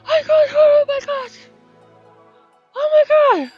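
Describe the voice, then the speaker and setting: Woman, high voice, unhurried sparse delivery, casual gameplay reactions, murmured self-talk, game music behind. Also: steady tone, a little mellow, muffled recording. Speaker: VTuber game streamer.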